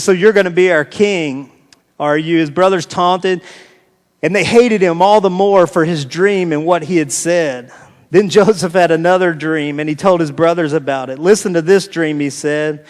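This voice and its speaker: An American man preaching as a pastor in a conversational, informal style, repeating phrases for emphasis. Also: sits high. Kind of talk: conversational sermon with scripture reading. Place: large reverberant room, preaching live. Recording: hall reverb.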